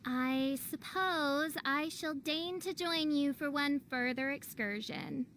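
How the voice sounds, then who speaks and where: A woman's voice: sits high, takes it slowly, background noise. A woman voicing a theatrical teenage gothic princess in a video game, haughty, pompous and declaiming in archaic language. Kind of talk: video game character acting.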